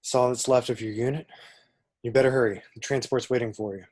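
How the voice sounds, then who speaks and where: Guy playing roguish rebel pilot, husky, mid pitch, casual but bossy, script read. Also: steady tone, fast, a little mellow, lightly acted. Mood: urgent. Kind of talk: table-read acting of a film script.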